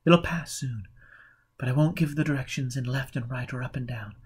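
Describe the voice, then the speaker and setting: Man voicing a calm, patient woman guide. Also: mid-range voice, normal speed, husky. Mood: gentle, guiding. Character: calm, forgiving woman guiding a lost man.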